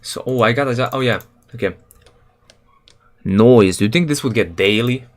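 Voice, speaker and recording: A young man from Serbia chatting casually on a live stream while he builds a game level, rambling in a relaxed, slangy way with lots of fillers and self-corrections. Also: mid-range voice, moderate pace. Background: keyboard and mouse clicks.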